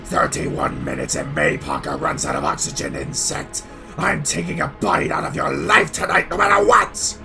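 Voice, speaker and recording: A man playing a vengeful, menacing comic-book supervillain in a fan dub, theatrical and forceful, over music. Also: fairly high pitch, big pitch swings, normal speed, gravelly, heavily acted. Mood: gloating menace. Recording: loud music behind.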